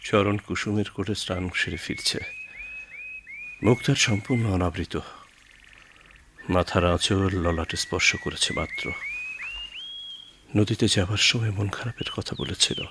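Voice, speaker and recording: A man's voice: lowish voice, measured pace, long pauses, noisy background. An actor in a Bengali radio drama playing a concerned man of the household, theatrical and questioning. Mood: admiring. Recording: music clearly behind the voice.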